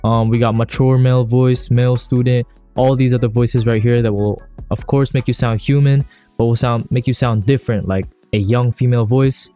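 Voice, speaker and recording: A man narrating a casual software tutorial in a slangy, enthusiastic way. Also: mid-range voice, fairly even intonation, moderate pace. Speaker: YouTuber host. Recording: music under the voice. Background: background music.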